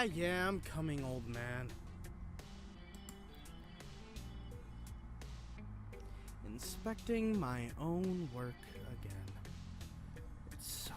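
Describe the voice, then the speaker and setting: A man's voice: a little high, big pitch swings, unhurried. A man voicing a cocky, irritable forensic examiner who secretly kills, in a visual novel game, sounding snide and bored. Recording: music playing behind the voice.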